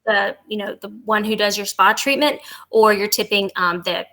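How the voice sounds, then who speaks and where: A woman's voice: mid-range voice, fairly even intonation, moderate pace, bright, forceful tone. A woman speaking as a podcast guest, giving conversational answers in an interview. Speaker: podcast guest, travel agent.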